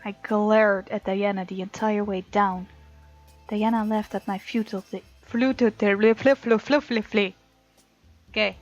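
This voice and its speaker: A woman's voice: mid-range voice, steady tone, unhurried, a little mellow, muffled recording. A woman hosting a let's play, reading game text aloud and reacting casually and expressively. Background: faint game music.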